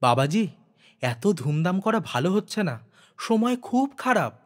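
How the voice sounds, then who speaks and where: A man's voice: high-pitched, big pitch swings, measured pace. A worried, powerless uncle from a storybook, played by a man. He sounds earnest and humble, pleading his case in a fairly natural voice.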